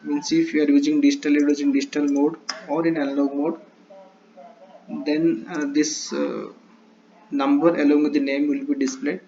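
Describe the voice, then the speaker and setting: A man presenting a step-by-step tutorial in halting, short broken phrases on a lo-fi recording. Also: a little high, little pitch movement, dark tone. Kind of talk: screen-share tutorial, explaining step by step. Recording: dull lo-fi mic with faint noise.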